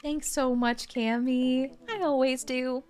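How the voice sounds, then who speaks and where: A woman's voice: sits high, fairly even intonation, slow pace, darkish tone. A young woman streaming a game, chatting casually and reading game text aloud in a bubbly way. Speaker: gaming streamer. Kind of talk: casual let's-play commentary.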